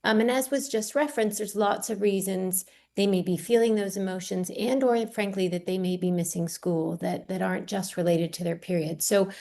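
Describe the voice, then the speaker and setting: A woman's voice: mid-range voice, fairly even intonation, moderate pace, gravelly, few pauses, warm tone. A woman professor with a mid-range voice explains a topic as a radio guest. She is articulate and knowledgeable. She sometimes repeats a word as she goes. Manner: articulate, expert, lightly repeated words. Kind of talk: radio interview.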